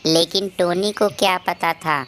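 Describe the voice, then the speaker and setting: A woman's voice: lowish voice, fairly even intonation, measured pace, noisy background. A woman narrating a scripted children's moral story.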